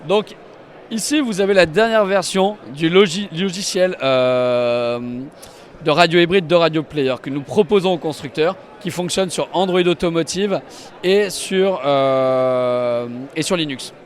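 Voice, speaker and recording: Higher-pitched man giving an enthusiastic demo. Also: unhurried. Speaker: company representative demonstrating products at a trade show. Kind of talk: live walk-through product demonstration to camera. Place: trade show stand and inside a car.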